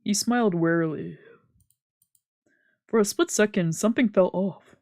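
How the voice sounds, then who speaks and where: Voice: medium pitch, a little slow, darkish tone, dry recording, clean studio sound. A streamer reading visual novel text aloud in a casual way, with sweary asides. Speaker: streamer doing a visual novel let's play. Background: game music.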